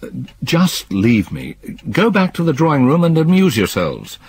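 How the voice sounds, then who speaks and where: An actor playing an older stepfather who narrates a haunting memory in a grave, measured voice with a husky tone. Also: fairly high pitch, huge pitch swings, a little hiss or hum. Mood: evasive. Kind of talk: radio drama acting.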